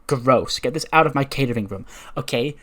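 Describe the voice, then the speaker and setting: A man's voice: mid-range voice, fairly quick, a bit bright, natural voice. Haughty wrestling diva played by a man, dismissive and condescending, storytelling delivery. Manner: snooty, condescending.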